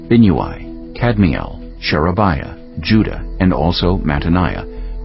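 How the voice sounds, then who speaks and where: A man reading scripture as an audiobook narrator, in a measured, solemn manner. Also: deep voice. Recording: slightly dull audio with music behind. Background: clear background music.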